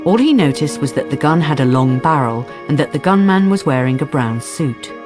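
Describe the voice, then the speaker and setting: A woman's voice: sits low, animated, moderate pace, over music. A woman acting as the composed, wry narrator of a detective radio drama, reading scripted narration.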